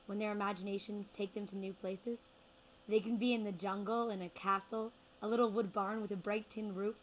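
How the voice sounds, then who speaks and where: A young woman performing spoken word poetry with deliberate, rhythmic phrasing and repeated words. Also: medium pitch, fairly even intonation, a little hiss or hum, poor audio. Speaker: slam poet.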